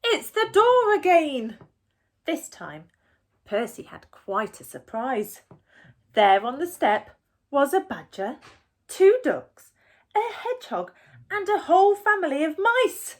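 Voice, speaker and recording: Slow, animated woman reading a children's story. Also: high-pitched. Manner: animated, deliberate, with asides to the listeners. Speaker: storyteller reading a children's picture book aloud. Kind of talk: expressive read-aloud for young children.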